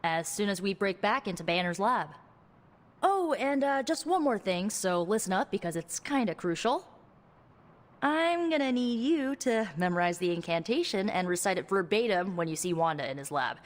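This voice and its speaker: An actress playing a quick-witted, sardonic young sorceress in a video game, talking in a casual, halting, anxious way. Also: female voice, medium pitch, expressive, big-room sound, natural voice. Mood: urgent, instructing. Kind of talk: video game cutscene dialogue.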